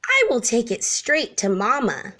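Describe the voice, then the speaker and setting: Woman doing a young boy elephant, gentle, earnest, forgetful, storybook character, highly varied intonation. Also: wildly swooping pitch, a little slow, slight background noise, full character voice. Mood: excited, confident. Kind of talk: children's storybook read-aloud.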